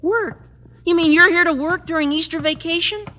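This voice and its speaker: A man playing an eager, slangy teenage schoolboy with a squeaky voice in an old radio sitcom. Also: moderate pace. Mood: chatty, curious. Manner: gee-whiz eagerness. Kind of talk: 1950s radio sitcom acting. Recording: dull old radio recording.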